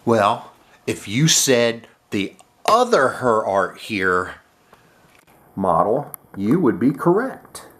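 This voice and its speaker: A man with a cold, sounding nasal, casually narrating an unboxing of model airplanes with many filler sounds and throat clearing. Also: medium pitch, sing-song, slow, a bit bright.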